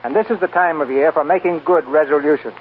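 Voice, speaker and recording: A man hosting and narrating a 1940s radio theater show in a measured, formal, scripted way. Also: a little high. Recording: tinny, noisy 1940s radio recording.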